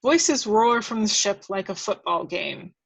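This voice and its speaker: Woman reading out script action, medium pitch. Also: expressive, a little slow, gravelly, breathy edge. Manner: stumbles and corrects while reading. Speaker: reader of action descriptions. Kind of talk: scripted narration at a table read.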